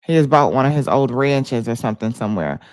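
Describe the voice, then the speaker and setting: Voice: mid-range voice, lively intonation, quick pace, darkish tone, no room sound, rough recording. An American livestream host chatting casually about politics in an informal, conversational way.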